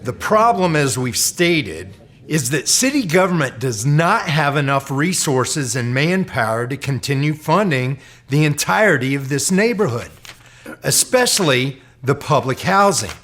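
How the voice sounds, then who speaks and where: An actor playing a defensive, bureaucratic city official, formal and explanatory, in an audio drama. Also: male voice, fairly high pitch, very animated, unhurried, a bit bright, exaggerated voice.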